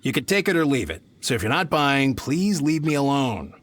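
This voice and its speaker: A man's voice: medium pitch, sing-song, moderate pace, heavy background noise, natural voice. An actor voicing a greedy middle-aged male merchant in a game, smug and haggling. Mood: impatient. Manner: smug bargaining, turns pleading. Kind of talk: game voice acting.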